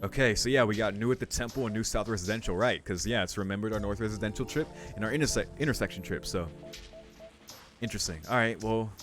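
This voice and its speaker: A young man with a fairly low voice commentates on a game as he plays. He muses informally, thinking aloud between passages of text he reads out, with faint music in the background. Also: fast talker. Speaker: video game streamer. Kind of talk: casual playthrough commentary mixed with reading game text aloud.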